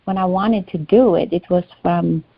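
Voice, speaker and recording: A woman whose first language is Albanian talks haltingly over a video call, pausing and searching for words. Her voice sits a little low and sounds dull and dry, with no room around it. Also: vocal fry, dry recording. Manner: hesitant, searching for words, many fillers. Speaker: client in a healing session. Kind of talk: personal sharing in a one-on-one session.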